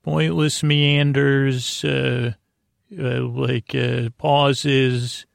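A man with a creaky, gravelly voice, hosting a bedtime podcast in a gentle, friendly, halting ramble full of stammered repeats and tangents meant to lull listeners to sleep. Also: medium pitch, level tone, no echo. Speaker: podcast host. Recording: close dry voice over faint music.

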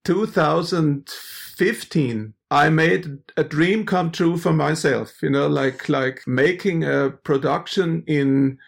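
A middle-aged man from Austria, a musician with German as his first language, chatting as an interview guest, halting and restarting often. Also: a little high, vocal fry. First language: Styrian Austrian German. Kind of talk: casual interview.

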